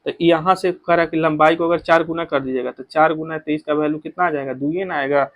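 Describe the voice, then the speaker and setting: Bihari-accented male coaching teacher, higher-pitched voice. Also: steady tone, fast talker, no room sound, muffled recording. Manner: repetitive, instructive, code-mixing English technical terms. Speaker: exam coaching physics teacher. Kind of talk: explanatory exam-prep lecture.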